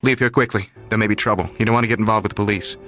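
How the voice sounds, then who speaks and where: A man's voice: on the low side, a little fast, a bit bright, faint music behind, natural voice. A man voicing an anxious yet resolute young son of a Mafia don, in an audiobook drama. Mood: firm.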